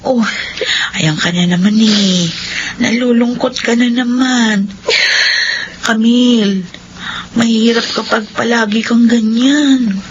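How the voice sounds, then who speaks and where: Madaldal na kaibigan, mabagal magsalita. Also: female voice, fairly high pitch, fairly even intonation. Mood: concerned. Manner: exclamatory, drawn-out reactions. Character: chatty, expressive, concerned young female friend. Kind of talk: Filipino radio drama dialogue. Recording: dull lo-fi audio, faint ambient noise.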